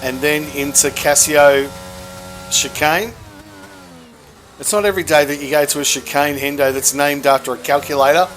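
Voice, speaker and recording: A man commentating a hot lap on an online racing broadcast, brisk and cheeky. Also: fairly high pitch, steady tone, fairly bright tone, slight background noise. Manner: brisk, cheeky and matter-of-fact.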